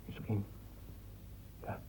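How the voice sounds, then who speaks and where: A young man acting in a radio play as a cornered, guilt-ridden student, husky and agitated. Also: extremely slow, mellow, a little hiss or hum. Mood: subdued, defeated. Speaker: actor in a radio drama. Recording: muffled, thin phone-like old radio sound.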